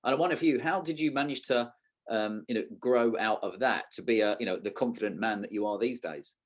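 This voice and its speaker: A man's voice: medium pitch, brisk. A man hosting a conversational interview podcast, thinking aloud in a reflective, tentative way. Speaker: podcast host.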